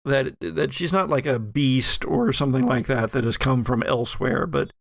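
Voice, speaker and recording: A man acting as game master, casually narrating a tabletop story and pausing mid-sentence. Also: medium pitch, creaky, no room sound, clean studio sound.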